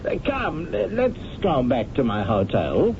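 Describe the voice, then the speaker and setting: A flamboyant stage director. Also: male voice, on the high side, huge pitch swings, unhurried, vocal fry, music in the background, full character voice. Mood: jovial. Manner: theatrical, laughing, effusive. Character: flamboyant theater director, theatrical and jovial. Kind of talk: 1970s radio drama acting. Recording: muffled radio broadcast with hiss.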